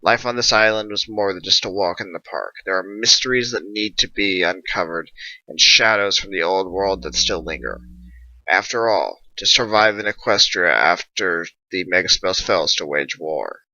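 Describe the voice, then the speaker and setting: A man's voice: low-pitched, normal speed, sharp tone, no room sound. A man reading dramatic scripted story narration in a portentous manner. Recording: dull lo-fi dry recording.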